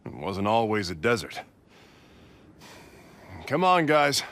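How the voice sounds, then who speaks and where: Adventurer uncle: easygoing, wry, lowish pitch with animated swings, game cutscene. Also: male voice, takes it slowly, slight background noise, exaggerated voice. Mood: casual, assured. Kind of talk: video game cutscene acting. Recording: music behind the voice.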